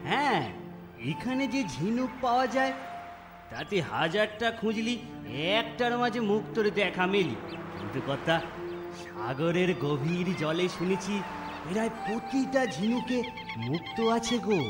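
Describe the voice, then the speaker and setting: A man's voice: sits high, sing-song, measured pace, reverberant, long reverb tail, music in the background. A man playing a naive, talkative, middle-aged village servant in a cartoon, with a rural Bengali accent and a humble, folksy manner. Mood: naive, eager. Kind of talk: cartoon dialogue acting.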